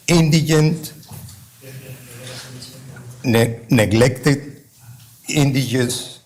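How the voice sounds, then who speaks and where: An older man with a Venezuelan Spanish accent, a native Spanish speaker, reading a halting statement to a court as a father. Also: mid-range voice, a little mellow, low-quality recording. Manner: slow, halting, careful phrasing with restarts. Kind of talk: reading a victim-impact-style court statement.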